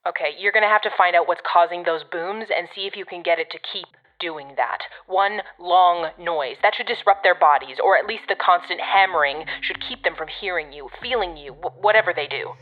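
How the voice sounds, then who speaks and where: A woman's voice: lowish voice, a little fast, few pauses, narrow, tinny audio, natural voice. An actress playing a brusque, sardonic woman handler in an audio drama, giving blunt, commanding guidance from a distance. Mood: wry, instructive.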